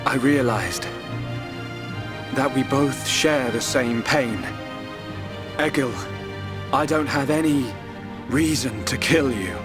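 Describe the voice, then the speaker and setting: A man playing a young video game hero with a mid-pitched voice. He is earnest and compassionate, plain-spoken yet firmly determined. Music is clearly heard behind him. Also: slow, pauses often, natural voice. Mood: gentle resolve. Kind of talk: dramatic video game cutscene acting.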